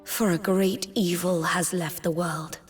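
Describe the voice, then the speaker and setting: Woman as a solemn, slow-speaking spectral queen. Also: on the low side, little pitch movement, soft background music, natural voice. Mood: resigned. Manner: solemn, formal, measured. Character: otherworldly, loving, remorseful queen bidding farewell. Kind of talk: theatrical fantasy game acting.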